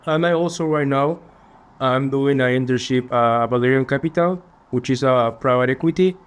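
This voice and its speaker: A young man from Peru with a Peruvian Spanish accent, answering interview questions earnestly and a little haltingly. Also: medium pitch, clear, resonant tone. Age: young adult. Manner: earnest, polite, slightly halting phrasing. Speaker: finance student guest.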